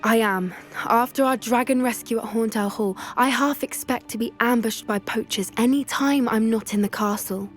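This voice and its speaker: A woman plays a high-pitched teenage British witch from a video game. She is earnest and gentle, an expressive young animal lover, with music playing behind her voice. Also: normal speed, few pauses, lightly acted. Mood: uneasy.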